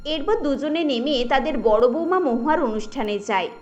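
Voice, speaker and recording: Female cartoon narrator, high-pitched, scripted storytelling over light music. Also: animated, normal speed, a little mellow, highs cut off.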